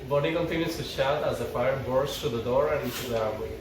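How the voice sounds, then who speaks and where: A man's voice: medium pitch, quick pace. A man reading stage directions aloud as narrator at an informal script table read. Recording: echoey, distant mic, clear background noise.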